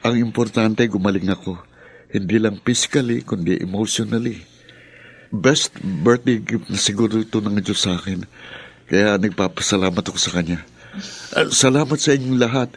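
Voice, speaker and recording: An actor playing a proud, wounded younger son in a Filipino radio drama, with emotional delivery and a Filipino accent. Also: male voice, lowish voice, moderate pace, creaky, husky. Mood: humbled, grateful. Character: proud, wounded younger son, bitter and self-reproaching, later humbled.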